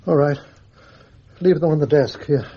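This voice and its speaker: Fretful, high-pitched pompous English judge. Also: male voice, moderate pace, slightly rough, dull audio. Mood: anxious, puzzled. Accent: English Received Pronunciation. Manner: fussy, exclamatory, brooding. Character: fretful, pedantic male judge, anxious and pompous. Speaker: actor in a radio drama. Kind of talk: BBC radio drama acting.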